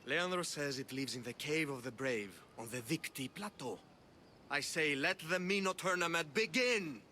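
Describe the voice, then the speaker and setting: An actor playing a boastful would-be monster hunter in a video game, wordy and grandiose. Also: male voice, a little high, animated, normal speed, pushed and bright, a little hiss or hum. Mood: boastful, eager. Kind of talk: video game character acting.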